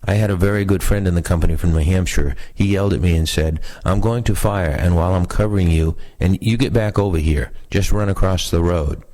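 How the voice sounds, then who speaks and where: A man's voice: low voice, a little fast, hardly any pauses, mellow, rough recording. A man reading first-person oral history recollections aloud as scripted narration. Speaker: reader of veterans' recollections.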